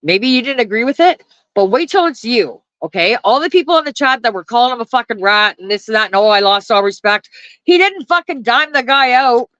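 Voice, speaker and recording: A woman's voice: fairly high pitch, lively intonation, a little fast, fairly bright tone, no echo, muffled recording. Blunt, foul-mouthed woman livestreaming. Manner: blunt, swears constantly, laughs often, talks to chat and dog. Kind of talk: profane casual live-stream rant and chat. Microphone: phone held close.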